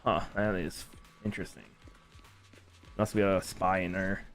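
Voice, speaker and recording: A man's voice: deep voice, fairly even intonation, a little slow, faint music behind. A man giving casual, muttered playthrough commentary and swearing freely as he streams a game. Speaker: gaming streamer reacting to a game.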